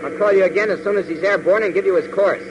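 High-voiced young villain pilot. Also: male voice, fast, breathy edge, highs cut off. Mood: terse, scheming. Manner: clipped radio-call phrasing. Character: young villainous pilot henchman, clipped and businesslike. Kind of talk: 1940s radio adventure acting. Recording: noisy plane cockpit on old radio.

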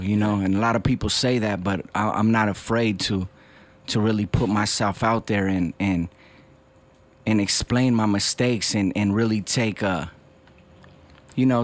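A man's voice: fairly low pitch, measured pace, pauses often. A man guesting on a radio show, speaking earnestly and often restarting and repeating himself. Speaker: guest musician. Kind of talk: radio interview.

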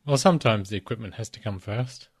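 A man co-hosting a casual podcast, croaky from a cold, asking questions and gently teasing. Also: lowish voice, fast. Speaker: podcast co-host. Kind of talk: casual chat.

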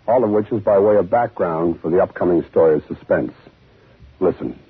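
A man giving a scripted introduction as host of a 1950s radio show, with a husky voice. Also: sits low, brisk. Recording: muffled narrow-band 1950s radio recording.

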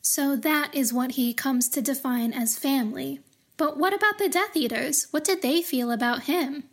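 A woman's voice: high-pitched, normal speed. A woman hosting a podcast, talking through literary analysis in an explanatory way with wry, casual asides. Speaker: podcast host.

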